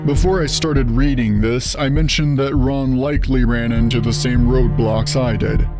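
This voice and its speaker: A man playing a reflective investigator who narrates a grim past in a measured, solemn way. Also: medium pitch, steady tone, loud music behind the voice, close to an everyday voice. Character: reflective male detective recounting a grim past. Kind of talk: scripted narration.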